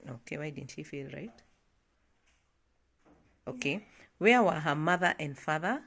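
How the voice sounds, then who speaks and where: Woman, parent-teacher role, slightly low register with plenty of vocal fry, casual and encouraging, attentive prompts. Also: lively intonation, measured pace, a bit bright. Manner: attentive, encouraging, often murmuring agreement. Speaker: parent teaching a child at home. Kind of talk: casual encouraging read-along prompting and questioning.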